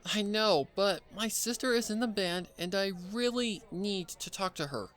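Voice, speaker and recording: A man's voice: sits high, measured pace, fairly bright tone, a faint crowd, pitched above the performer's own voice. A man voicing a bubbly young female pony in a story, an eager, apologetic sister who rambles. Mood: pleading.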